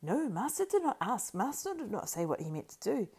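A woman voicing a wretched, cringing male creature who wails and pleads in a shrill, squeaky whisper. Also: big pitch swings, fairly quick, darkish tone, slightly rough, heavily acted. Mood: whining. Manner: wailing, pleading, singsong.

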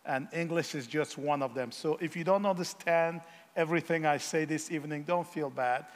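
A man, a guest missionary speaker giving a sermon, pausing often with fillers and self-deprecating humor. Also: fairly high pitch, big-room sound. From Africa. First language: Yoruba.

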